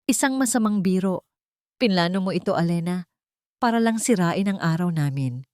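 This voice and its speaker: A woman voicing a scheming, defiant mistress in an audio love drama, sharp and emphatic. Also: lowish voice, animated, normal speed, no echo, studio quality, natural voice. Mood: accusing. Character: scheming mistress, defiant and panicky when cornered.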